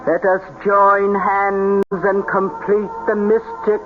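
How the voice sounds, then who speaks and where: An actor playing a smooth-talking, scheming séance host with a measured, formal, solicitous manner in an old radio drama. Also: medium pitch, flat delivery, over music, lightly acted. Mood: solemn. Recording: tinny, noisy old radio recording.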